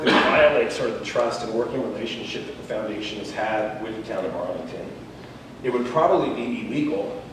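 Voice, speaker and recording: A man making a formal statement as a representative at a public meeting. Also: lowish voice. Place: indoor public meeting room. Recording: very echoey, distant, noisy meeting room.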